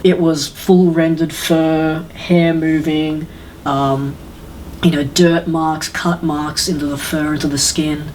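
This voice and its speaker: A man's voice: fairly high pitch, level tone, takes it slowly, a little mellow, slight background noise, rough recording. A man chatting casually and emphatically on a podcast, like a keen fan. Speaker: podcast panelist. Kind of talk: casual, enthusiastic podcast chat.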